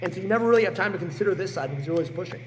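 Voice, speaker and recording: A man in his late teens with a hoarse, sick voice, talking bluntly and energetically as a podcast guest. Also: high voice, very fast, long reverb tail, a little hiss or hum, poor audio. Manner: energetic and blunt, swears freely. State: hoarse, sick with a sore throat. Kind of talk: casual podcast chat.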